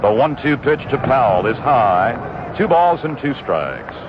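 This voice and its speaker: A male play-by-play announcer calls a ballgame in a steady, measured way, weaving statistics between pitches. The sound is a dull, narrow-band 1960s radio recording, with clear noise and stadium ambience behind him. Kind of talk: live radio baseball commentary. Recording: muffled old 1960s radio broadcast with noise.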